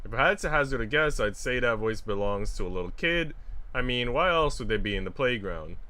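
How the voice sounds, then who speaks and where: A man's voice: mid-range voice, expressive, fairly quick, sharp tone. A man livestreaming a visual novel, reading it aloud and chatting casually with informal, joking asides.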